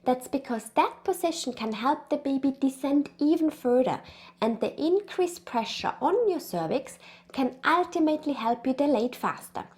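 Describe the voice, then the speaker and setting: A woman's voice: high voice, expressive, moderate pace, darkish tone. A woman with an Austrian accent, presenting an educational video as a birth consultant, upbeat and encouraging.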